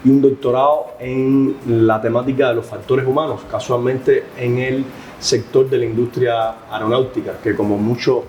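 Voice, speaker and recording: A man with a Cuban Spanish accent speaks as a podcast guest, explaining things in a measured way with frequent pauses and hesitations. His voice sits at an ordinary pitch, and he is recorded in a roomy, echoey space with a faint hiss of noise behind him. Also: steady tone, a little mellow. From Cuba. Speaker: guest expert, professor. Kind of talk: podcast interview answers.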